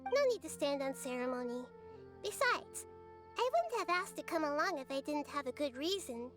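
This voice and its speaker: A woman voicing a gentle, wise, childlike girl deity in a video game, speaking thoughtfully and articulately. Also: high-pitched, big pitch swings, moderate pace, faint music behind. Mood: friendly, calm.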